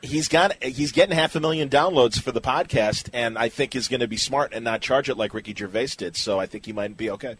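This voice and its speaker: A man's voice: mid-range voice, brisk, few pauses, a bit bright, a little hiss or hum, rough recording. A man hosting a podcast interview in a casual, easygoing, agreeable conversational way.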